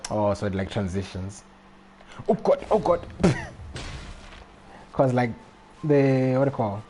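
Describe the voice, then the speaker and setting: A man's voice: medium pitch, animated, measured pace, warm tone, slight background noise. A man chatting casually on a gaming livestream, rambling and repeating himself as he goes. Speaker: live streamer.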